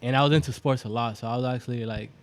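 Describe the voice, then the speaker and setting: A young man from Boston who talks loosely, circling back with plenty of "like" and "um." His voice sits at an everyday middle pitch. It sounds like an easygoing podcast guest. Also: racing. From Boston, USA (born in Venezuela). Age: 20s. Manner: relaxed, rambling, many fillers. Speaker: guest, clothing brand founder. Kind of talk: casual interview chat.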